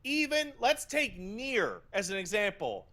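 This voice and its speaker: A man's voice: sits high, sing-song, measured pace, pushed and bright. A man co-hosting a podcast, chatting casually about video games in a blunt, profane, emphatic way. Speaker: podcast co-host.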